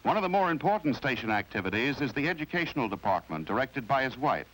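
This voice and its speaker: Lively 1950s American male documentary narrator. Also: mid-range voice, husky, poor audio. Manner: formal, measured, explanatory delivery. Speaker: narrator of a training film. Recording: vintage 1950s film soundtrack with music.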